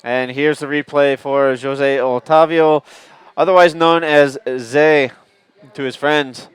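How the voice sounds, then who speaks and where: Male play-by-play caller, big booming voice, smooth dry tone, playful. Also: fairly even intonation, slow pace, no echo. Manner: booming voice, joking, explains details. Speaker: commentator. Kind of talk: live sports commentary.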